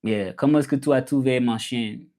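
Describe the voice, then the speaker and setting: A man's voice: medium pitch, a little fast, warm tone. Casual Southern American man chatting live. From southern United States. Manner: colloquial, repeats phrases. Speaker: livestream host, language content creator. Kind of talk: casual livestream chat, switching between English and French.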